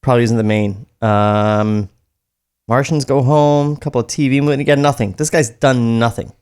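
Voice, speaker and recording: A man's voice: medium pitch, steady tone, normal speed, darkish tone, clean tone. A man hosting a comedy podcast, talking casually and matter-of-factly. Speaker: podcast host. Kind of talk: casual comedic chat, reading script aloud.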